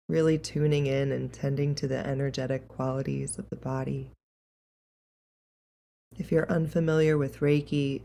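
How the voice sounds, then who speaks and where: A woman leading a guided meditation in a calm, gentle, unhurried and soothing way. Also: extremely low pitch, creaky, a little hiss or hum.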